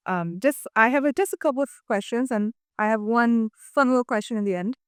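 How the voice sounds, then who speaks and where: A young woman interviewing a seated panel as host, phrasing her questions a little haltingly. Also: a little high, smooth, no echo, studio quality. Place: indoor studio, seated panel on sofas.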